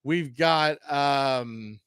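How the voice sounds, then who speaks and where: A man's voice: medium pitch, extremely slow, a bit bright, clean tone, no echo, studio quality. An American man giving casual, rambling live pregame baseball commentary as the host of a stream. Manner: informal, conversational, rambling.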